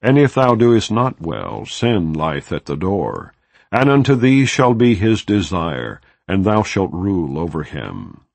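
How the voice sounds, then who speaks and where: A man giving a measured, solemn reading of scripture in an unhurried narrating style. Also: sits low, very animated, gravelly, darkish tone, no echo. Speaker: Bible reader.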